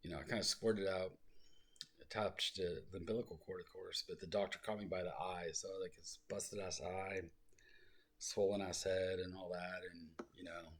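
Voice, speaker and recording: A man rambling through his own life story in a soft, low voice he calls soothing, full of fillers and asides. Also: fairly even intonation, moderate pace, breathy edge. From South Lake Tahoe, California. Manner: rambling, self-deprecating, full of fillers and digressions.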